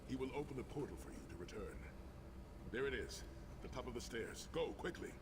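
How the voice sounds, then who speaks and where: A man playing a solemn, guarded warrior monk in a fantasy game, speaking gravely and with measure. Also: mid-range voice, lively intonation, fast talker, natural voice. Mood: grave, instructing. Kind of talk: scripted fantasy game dialogue. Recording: loud game ambience over voice.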